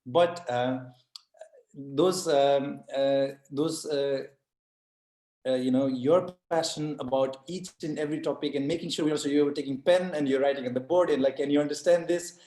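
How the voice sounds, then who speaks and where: A man's voice: mid-range voice, moderate pace, mellow. A man from Nepal giving a casual, effusive and earnest testimonial as a former course student. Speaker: IoT solution architect, course alumnus giving testimonial. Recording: roomy echo.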